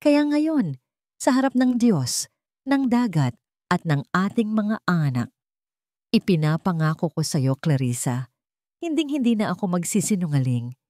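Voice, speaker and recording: A woman's voice: deep voice, a little mellow, no room sound, clean studio sound. A woman voicing a devoted groom in a romance drama, speaking with earnest, trembling emotion. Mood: solemn vow. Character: devoted, emotional adult groom pledging his love.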